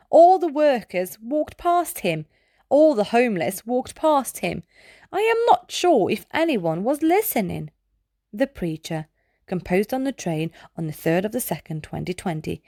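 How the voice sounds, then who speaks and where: A woman reading children's poetry aloud from a script in a measured, deliberate, clearly enunciated way. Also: fairly high pitch, a little mellow, no room sound, clean studio sound.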